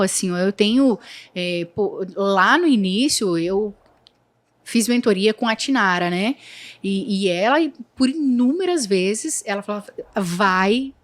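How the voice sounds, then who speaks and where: A woman's voice: a little high, fairly bright tone. Earnest woman with a Santa Catarina accent. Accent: Santa Catarina Brazilian Portuguese. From Santa Catarina, Brazil. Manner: earnest, with many fillers and restarts. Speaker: guest entrepreneur. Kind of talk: casual interview talk.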